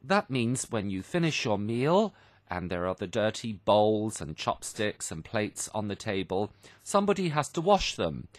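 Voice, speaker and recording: A man's voice: on the low side, no room sound. A man presenting an English lesson on the radio, explaining phrases simply and didactically with examples and repetition. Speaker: radio programme host and English teacher. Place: radio studio.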